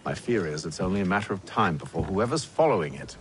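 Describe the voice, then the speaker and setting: A man playing a composed, determined gentleman passenger in a video game drama, measured and formal in his speech. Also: deep voice, expressive, a little hiss or hum. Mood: pensive, wary.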